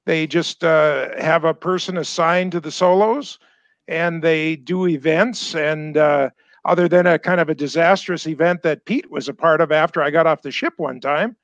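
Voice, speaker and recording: An older man on a video call with a headset, chatting in a halting way full of pauses and restarts. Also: on the high side, steady tone, fairly bright tone, no room sound, lo-fi sound. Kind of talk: casual chat. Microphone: headset mic on a video call.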